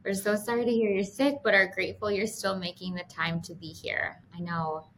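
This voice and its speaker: A woman's voice: medium pitch, fairly even intonation, fairly quick, a bit bright. A woman co-hosting a podcast, chatty and upbeat, with lots of fillers. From Minnesota. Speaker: podcast co-host. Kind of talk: casual podcast chat. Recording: faint background noise.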